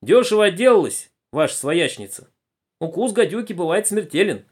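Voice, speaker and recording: A man's voice: high voice, big pitch swings, normal speed, husky, pitched above the performer's own voice, full character voice. A man voicing a coolheaded little old man in a story, dry and unruffled. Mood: cool, dry.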